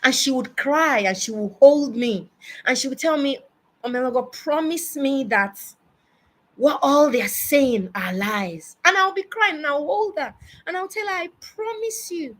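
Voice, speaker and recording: A Nigerian-accented woman in her early forties giving a personal advice monologue, emphatic and deliberate, repeating words for stress. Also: sits high, lively intonation, a bit bright. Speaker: speaker addressing parents.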